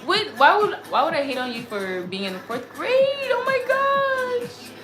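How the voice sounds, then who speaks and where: A woman's voice: very high voice, big pitch swings, unhurried. A woman of about thirty chatting casually on a livestream as its host, reading and answering comments in an earnest, effusive way, repeating herself as she goes. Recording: roomy echo, music behind the voice. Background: music.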